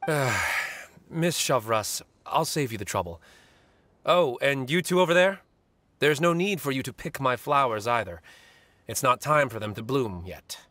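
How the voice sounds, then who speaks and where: A man playing a composed, polite novelist and killer, speaking articulately and deliberately in an anime video game. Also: medium pitch, expressive, pushed and bright, no echo. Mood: resigned.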